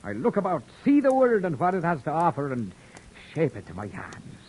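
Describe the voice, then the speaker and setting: A man acting a proud, sharp-tongued young wanderer who narrates his own tale in a 1940s radio drama, with a wry, sardonic telling. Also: a little high, wildly swooping pitch, brisk, rough recording. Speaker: narrator and lead character. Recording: old radio broadcast with faint music.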